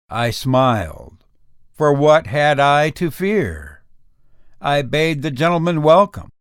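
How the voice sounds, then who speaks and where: Nervous, gloating murderer played by a male reader for gothic horror audio; slow, theatrical delivery, close dry sound. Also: a little high, dry recording. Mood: falsely confident. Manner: intense, deliberate, emphatic, theatrical. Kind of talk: dramatic gothic horror audio story reading.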